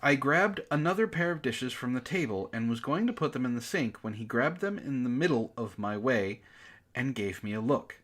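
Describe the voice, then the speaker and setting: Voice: medium pitch, lively intonation, moderate pace, fairly bright tone. A sarcastic, exasperated book club host who swears freely, reading aloud mockingly and breaking off for casual commentary.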